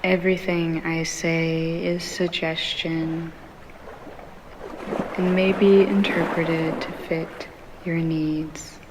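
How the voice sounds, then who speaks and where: A woman guides a meditation in a soothing, low-set voice that stays very even. She speaks extremely slowly, leaving long pauses between short phrases. Waves and rain are clearly heard behind her. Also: background noise. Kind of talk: guided yoga nidra meditation, ASMR. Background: ambient ocean waves and rain.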